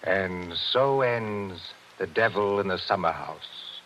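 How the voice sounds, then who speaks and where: A man announcing a 1940s radio show from a script in a measured, ominous dramatic style. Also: deep voice, lo-fi sound, noisy background. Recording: vintage 1940s radio broadcast. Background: music.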